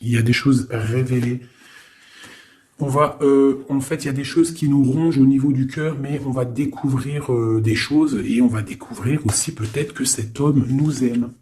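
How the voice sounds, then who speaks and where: A man giving a casual, improvised tarot reading, thinking aloud in an informal, halting way with frequent little tag questions. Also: mid-range voice, fairly even intonation, mellow, breathy edge. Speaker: tarot card reader.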